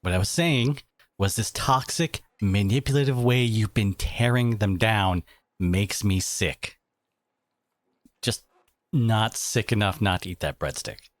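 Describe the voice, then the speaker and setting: A man playing a devoted, protective boyfriend in a dramatic roleplay audio, speaking emphatically with sarcastic edges and dramatic pauses. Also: fairly low pitch, no room sound, close to an everyday voice. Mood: indignant, resolute.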